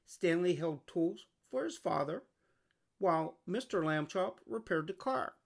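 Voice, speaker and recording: A storybook narrator reading aloud for children. The voice sits at a medium pitch and has clear vocal fry in it. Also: expressive, a little slow. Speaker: storybook reader.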